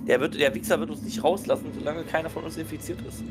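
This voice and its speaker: A man's voice: on the high side, steady tone, fast talker. A man playing a tabletop role-playing game on a group video call, bantering playfully in and out of character. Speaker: tabletop role-play player. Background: music.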